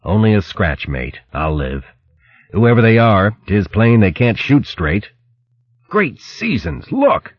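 Man as a gruff, commanding storybook sea captain. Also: fairly low pitch, animated, a little slow, no room sound, lo-fi sound. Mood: stoic. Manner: decisive, gruff seafaring orders.

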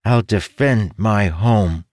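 A man voicing a young winged creature boy, high and earnest. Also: takes it slowly, no room sound, clean studio sound. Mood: resolute. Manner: high, earnest and pleading, rising to shouts. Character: young winged trunked male creature, grieving, determined. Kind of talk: storybook reading.

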